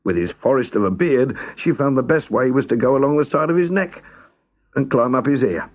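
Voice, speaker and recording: A man narrating a scripted children's story as a storyteller, expressive, measured and dramatic. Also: medium pitch.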